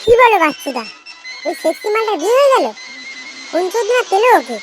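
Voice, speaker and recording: An actor voicing a cheeky, nosy, excitable young sidekick in a comedy cartoon. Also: very high voice, fast talker, over music. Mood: nosy excitement. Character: squeaky, cheeky small young club sidekick. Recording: clear noise behind.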